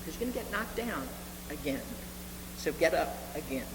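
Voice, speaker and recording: Echoey low-voiced older woman preaching. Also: lively intonation. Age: 70s. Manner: earnest, deliberate, with repeated phrases for emphasis. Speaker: reverend preaching to a congregation. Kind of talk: sermon. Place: reverberant meeting hall with a congregation. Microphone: live speaking microphone. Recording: echoey hall, noisy room with hum.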